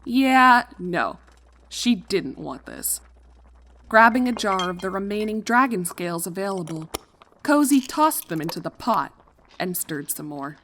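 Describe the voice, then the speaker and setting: A woman reading fan fiction as narrator, expressive and dramatic, leaning on key words. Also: medium pitch, unhurried, a little hiss or hum. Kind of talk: expressive scripted story narration.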